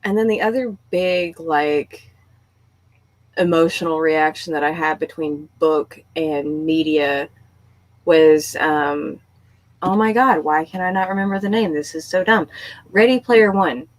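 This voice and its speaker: A woman hosting a casual group book chat, speaking in an animated, emphatic way with exasperated storytelling. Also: low-pitched, unhurried, dry recording. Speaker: book discussion host. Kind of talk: casual group chat about books and shows.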